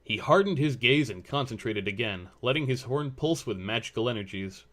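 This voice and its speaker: A man's voice: mid-range voice, animated, normal speed, fairly bright tone. A man reading scripted story narration as the narrator of a fan fiction audio drama.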